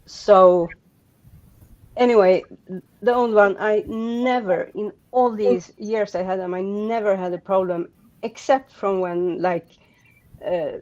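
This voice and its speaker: A Swedish-accented woman talks slowly over a muffled, lo-fi call line. Her voice sits fairly low, and she rambles with frequent restarts and fillers. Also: a little mellow. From Sweden. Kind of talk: casual group chat.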